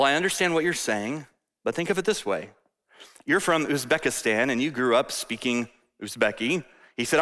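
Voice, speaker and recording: A man preaching a sermon to a congregation, conversational and animated, with asides and rhetorical questions. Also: mid-range voice, long reverb tail. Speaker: pastor.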